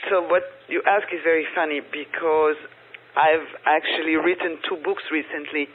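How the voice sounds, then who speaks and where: A woman's voice: low voice, steady tone, moderate pace. Middle-aged french lady telling stories on an interview, kinda tinny like a phone line. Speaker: guest. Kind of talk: casual interview.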